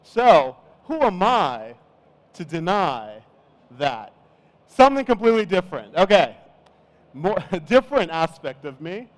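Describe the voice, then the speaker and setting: Middle-aged man from Nigeria, conference speaker, easygoing and chatty, smooth voice. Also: high voice, measured pace, low-quality recording. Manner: relaxed, chatty, self-deprecating. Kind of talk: conference talk. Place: conference stage.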